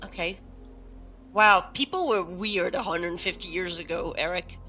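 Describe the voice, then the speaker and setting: Woman playing a bright, sceptical crew member. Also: lowish voice, a little slow, faint music behind. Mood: impatient. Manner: direct, probing. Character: impatient, sceptical female team member questioning AIs.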